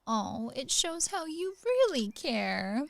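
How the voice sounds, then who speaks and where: A woman's voice: sits high, sing-song, takes it slowly, gravelly, no room sound, heavily acted. A woman acting in a radio play as a proud, sly young maidservant, pert and needling under a show of deference. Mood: pert, teasing.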